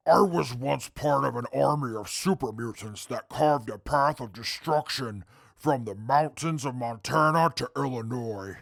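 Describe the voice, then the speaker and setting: Guy doing a menacing super mutant warrior, super gravelly, slow and blunt, close dry audio drama sound. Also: mid-range voice, fairly bright tone, no echo. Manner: deliberate, blunt.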